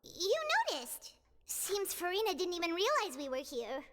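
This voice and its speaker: A woman voicing a tiny, childlike fairy companion in a video game, squeaky, perky and inquisitive. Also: animated, moderate pace, a bit bright, in a large hall. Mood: curious.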